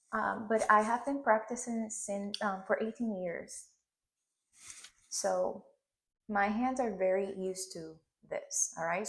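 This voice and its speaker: A woman's voice: mid-range voice, gravelly. A woman in her thirties from Puerto Rico, a Spanish speaker with a Puerto Rican accent, teaching a hairstyling tutorial and checking in often with "okay?". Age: 30s. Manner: instructive, checks in with frequent "okay?". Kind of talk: hands-on tutorial demonstration.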